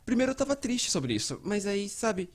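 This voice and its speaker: A Brazilian man acting out a breezy Brazilian young woman in an explainer skit. The talk is chatty and airy, with sweetly pointed innocence and a slightly high pitch. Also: big pitch swings, normal speed.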